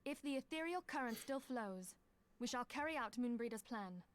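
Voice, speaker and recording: A woman's voice: on the high side, lively intonation, moderate pace, natural voice. A woman playing a grave, resolute leader in a fantasy video game, speaking formally and solemnly.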